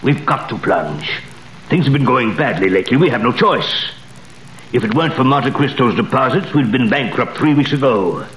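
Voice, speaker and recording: A man's voice: medium pitch, lively intonation, moderate pace, lightly acted. A man as a desperate scheming banker. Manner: scheming, forceful. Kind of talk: 1930s radio acting. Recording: old radio broadcast with echo and hiss.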